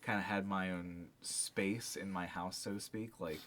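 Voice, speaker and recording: Low-voiced man in casual, slangy chat. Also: fairly even intonation, measured pace, a bit bright, slight background noise. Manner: slangy, rambling, peppered with "like". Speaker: podcast co-host. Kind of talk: casual podcast chat about music.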